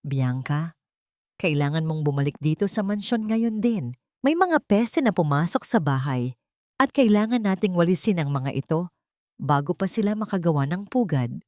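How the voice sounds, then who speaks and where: A woman's voice: lowish voice, sing-song, moderate pace, darkish tone, dry recording, studio quality. A woman voicing a spiteful old rich matriarch who gives cold commands in an audio drama. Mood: venomous.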